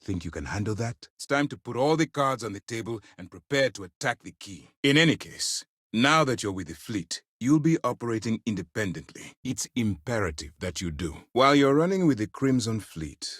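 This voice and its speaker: An actor playing a stern, principled space navy commander in a video game, speaking in a formal, deliberate, authoritative way. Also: male voice, medium pitch, very animated, fairly bright tone, breathy edge, no echo, clean studio sound. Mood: calm authority. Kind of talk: scripted dramatic game dialogue.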